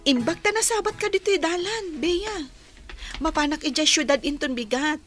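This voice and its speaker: Woman playing a high-voiced earnest student girl. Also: expressive, moderate pace, music in the background. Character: eager young female student daughter leaving for the city. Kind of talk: dialogue in an amateur audio drama.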